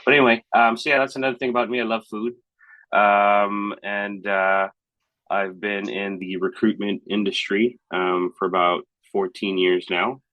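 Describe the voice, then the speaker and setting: A man's voice: on the low side, little pitch movement, normal speed, a bit bright, no room sound, poor audio. A man in his thirties from Hong Kong, co-hosting a casual podcast chat in a rambling, hesitant, thoughtful way. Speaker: podcast co-host.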